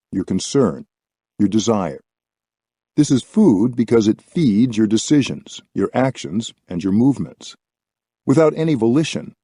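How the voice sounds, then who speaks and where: A man reading a reflective book as scripted narration, with measured, deliberate phrasing. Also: on the low side, dark tone, no echo, studio quality. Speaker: audiobook reader.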